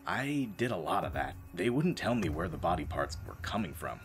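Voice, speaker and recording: A man acting a world-weary, deadpan space marine reduced to janitor, telling his story as dramatic audio drama narration. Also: sits low, moderate pace, faint music behind. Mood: grim. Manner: sardonic, deadpan, brooding. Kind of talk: dramatic first-person scripted narration.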